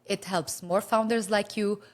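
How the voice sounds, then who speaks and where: A woman's voice: mid-range voice, steady tone, a little slow, darkish tone, no echo. A woman hosting a podcast interview, upbeat and encouraging, with frequent affirmations. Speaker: podcast host.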